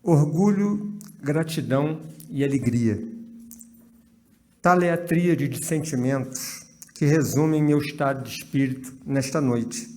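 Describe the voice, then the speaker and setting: A man's voice: medium pitch, darkish tone. A man with a Brazilian accent, the honoree's grandson, reading a prepared speech in formal, elaborate wording.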